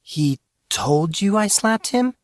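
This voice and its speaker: A man's voice: high voice, animated, slow pace, no room sound, pitched above the performer's own voice. A man plays a distressed teenage girl in a dramatised audiobook. The voice is lifted well above a man's usual register and sounds hesitant and pleading, as if confessing something painful. The recording is close and dry. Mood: mortified.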